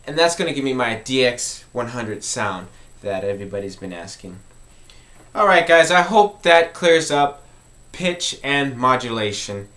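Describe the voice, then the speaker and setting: A man's voice: mid-range voice, expressive, a little slow, fairly bright tone. Man giving an informal tutorial. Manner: explanatory, conversational, repeats key points. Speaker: music tutorial host.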